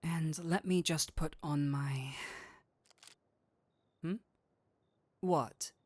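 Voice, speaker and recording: A man acts out a nerdy male incubus demon in an intimate audio roleplay. He speaks slowly and theatrically, teasing and coaxing with a kindly streak. The sound is dry, with no room around the voice. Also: on the high side, gravelly, no room sound, heavily acted. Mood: playful, teasing. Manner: coaxing, playful, theatrical switches between seductive and flustered.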